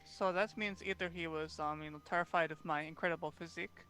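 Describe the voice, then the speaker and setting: A man's voice: on the high side, fairly even intonation, fast, sharp tone. A man playing a blunt, boastful male warrior at a tabletop game, speaking broken English and dropping his articles. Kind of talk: in-character tabletop roleplay.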